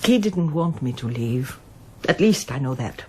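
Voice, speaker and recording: A woman's voice: extremely low pitch, lively intonation, a little slow, a little mellow, a little hiss or hum. An actress playing a reserved, wistful older woman, a former pianist, in a radio drama, speaking with hesitant understatement. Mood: subdued, confiding. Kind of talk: radio drama acting, monologue and dialogue.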